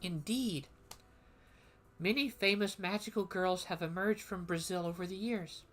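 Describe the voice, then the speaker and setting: A woman voicing a formal woman teacher of magical history in a game, lecturing in a measured way. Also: on the low side, noisy background, lightly acted.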